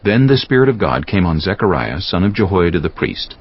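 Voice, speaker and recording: A man with a gravelly voice giving measured, solemn narration for a dramatized audio Bible. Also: low-pitched, big pitch swings. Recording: dull audio with soft music behind. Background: soft background music.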